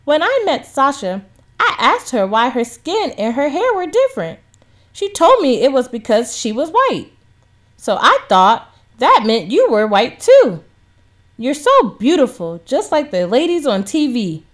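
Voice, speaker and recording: Woman as an earnest, high-voiced little girl. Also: wildly swooping pitch, measured pace, heavily acted. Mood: earnest, innocent. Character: earnest, curious little girl, worried but trusting. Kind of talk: children's storybook read-aloud.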